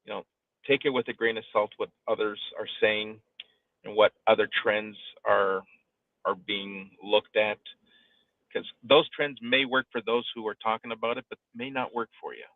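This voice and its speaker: A man's voice: lowish voice, steady tone, moderate pace, dry recording. A man giving conversational coaching advice as a host, speaking emphatically and repeating phrases for weight. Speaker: live streaming coach hosting an audio discussion. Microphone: live online audio room connection. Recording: tinny phone-like online call audio.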